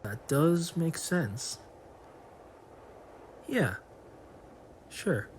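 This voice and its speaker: A man's voice: fairly high pitch, very animated, extremely slow, a little hiss or hum, heavily acted. A man playing a lonely, awkward young man in a motion comic, speaking hesitantly and self-consciously. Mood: startled, hesitant.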